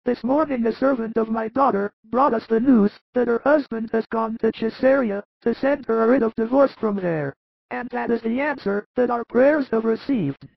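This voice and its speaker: A man voicing a humble, despairing older farmer in a Bible story, pleading sorrowfully in a strained, creaky voice. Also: very high-pitched, normal speed, no room sound, dull audio, pitched above the performer's own voice, full character voice. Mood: grieving, despairing.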